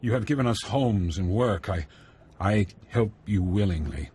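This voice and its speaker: Humble halting villager for a game, lowish voice, bit of background noise. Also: male voice, lively intonation, darkish tone. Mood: earnest, deferential. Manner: halting, deferential. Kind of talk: video game voice acting.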